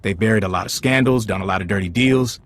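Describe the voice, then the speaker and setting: An actor playing a frank intelligence agent giving an informal, wry briefing in a video game. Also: male voice, on the low side, fast, lightly acted. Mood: earnest, wry.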